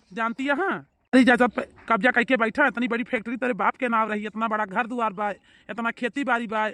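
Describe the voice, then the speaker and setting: A woman's voice: on the high side, fairly bright tone, dry recording, voice pushed down, lightly acted. Scheming Awadhi mother-in-law, a woman putting her on, dry voice, pushy and plotting. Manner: egging on, keeps asking "you know?".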